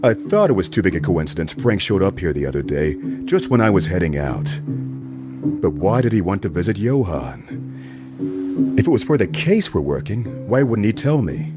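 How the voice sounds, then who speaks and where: Man acting a low-voiced wry private detective. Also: sing-song, moderate pace, loud music behind the voice. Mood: brooding, suspicious. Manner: wry, wisecracking. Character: wry hard-boiled male private detective. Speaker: lead actor and narrator. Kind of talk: noir radio play acting and narration.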